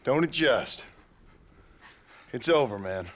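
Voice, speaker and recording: A man playing a gruff, weary but resolute former outlaw in a western game, speaking in terse, dry lines. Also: mid-range voice, animated, slow. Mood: grim, firm. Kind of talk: western game cutscene acting.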